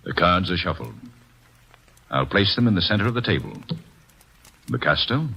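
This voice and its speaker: A pompous, smooth club owner on old radio. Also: male voice, deep voice, big pitch swings, normal speed, noisy background, full character voice. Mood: poised. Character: smooth, formal middle-aged club owner. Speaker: card game host. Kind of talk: 1950s radio drama acting. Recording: dull lo-fi old radio recording.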